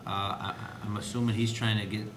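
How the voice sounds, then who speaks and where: A man speaking as an administrator at a school board meeting, explaining in a halting way with restarts. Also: flat delivery. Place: indoor board meeting room. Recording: noisy, echoey meeting room with low rumble.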